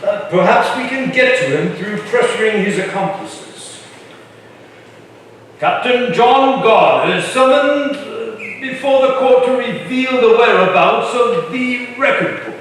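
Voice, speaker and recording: A man's voice: very high-pitched, lively intonation, a little slow, a bit bright, a little hiss or hum, exaggerated voice. A man playing a formal colonial chronicler in a staged reading, recorded from far off in an echoey hall. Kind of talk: formal scripted reading of a historical drama. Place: live stage in a reverberant hall.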